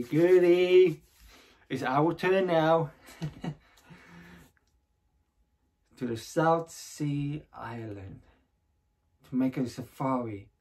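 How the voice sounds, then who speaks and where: A man performing a stage monologue as a restless, bitter young man yearning for adventure, speaking in a theatrical, deliberate, sardonic way. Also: medium pitch, darkish tone, natural voice. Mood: mocking excitement.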